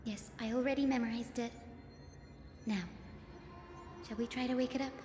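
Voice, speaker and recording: A woman's voice: fairly high pitch, fairly even intonation, normal speed, close to an everyday voice. A woman voicing a learned, composed young priestess in a fantasy video game, formal and articulate. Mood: calm, assured. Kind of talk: scripted video game voice acting. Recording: echoey reverberant hall, music behind.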